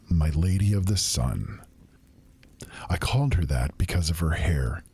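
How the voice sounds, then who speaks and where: A man voicing a sorrowful, lovelorn male traveler who speaks slowly in mournful, old-fashioned phrasing. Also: low voice, a little mellow, husky, voice pushed down, exaggerated voice. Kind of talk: storytelling.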